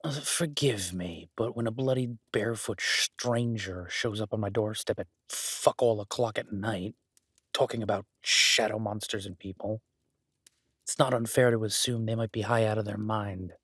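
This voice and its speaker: A man acts out a gruff, skeptical loner in a remote cabin for an intimate audio drama. His voice is low and rough-edged, recorded close and very dry. He is blunt and sweary, yet grudgingly caring and reassuring. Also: moderate pace, fairly bright tone, no room sound. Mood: exasperated. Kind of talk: immersive audio roleplay, intimate naturalistic acting.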